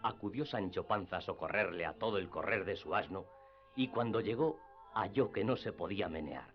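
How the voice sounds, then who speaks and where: A man's voice: deep voice, moderate pace. A man reading scripted literary narration for radio theatre. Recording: dull lo-fi radio drama with music.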